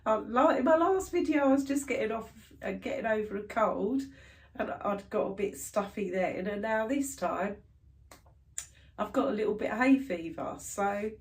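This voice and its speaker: A woman's voice: mid-range voice, expressive, moderate pace. A woman in her thirties, stuffed up with hay fever, chatting casually to camera about her stitching. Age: 30s. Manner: chatty, rambling, many fillers. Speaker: cross stitch vlogger.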